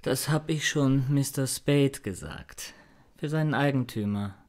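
An actor playing a fussy, courteous, shady dealer with a formal, over-polite manner in a German radio crime drama. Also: male voice, mid-range voice, a little slow, a little mellow, close to an everyday voice. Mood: polite, guarded.

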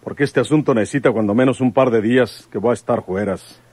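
An actor playing a devoted, plain-spoken country detective in a radio drama. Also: male voice, mid-range voice, quick pace, darkish tone, breathy edge, no room sound, lightly acted. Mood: firm, dutiful.

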